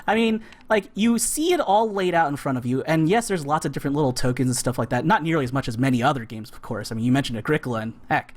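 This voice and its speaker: A man's voice: a little high, animated, quick pace, few pauses. A man hosting a board game stream, chatting casually and with enthusiasm as he plays. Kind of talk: casual chat while playing a board game.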